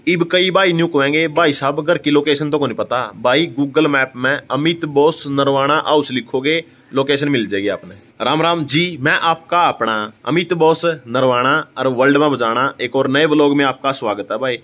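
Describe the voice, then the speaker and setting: A man's voice: mid-range voice, moderate pace, hardly any pauses, a bit bright. A young man with a Haryanvi accent talks emphatically and casually to the camera as a vlogger, addressing his listeners like brothers. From Haryana, India. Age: young adult. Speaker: vlogger, host. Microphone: phone at arm's length.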